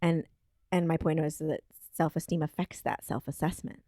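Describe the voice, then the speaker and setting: A woman's voice: low voice, fairly even intonation, normal speed, dark tone, no echo, clean studio sound. A woman co-hosting a casual kitchen-table chat, talking thoughtfully and searching for words with many fillers. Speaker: podcast co-host.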